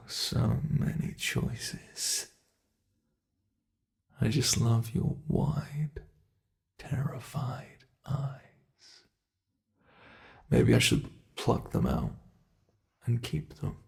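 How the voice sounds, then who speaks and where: A man's voice: medium pitch, expressive, drawn-out words, gravelly, pauses often, darkish tone, heavily acted. A man voicing an ancient male monster in an ASMR roleplay, a cruel and playful predator who taunts his prey and drops into close whispers. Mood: menacing whisper.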